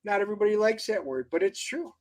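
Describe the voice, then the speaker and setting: A man's voice: sits high, animated, quick pace, a little mellow. A man co-hosting a casual livestream, upbeat and talking straight to viewers. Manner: upbeat, addresses viewers directly. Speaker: co-host.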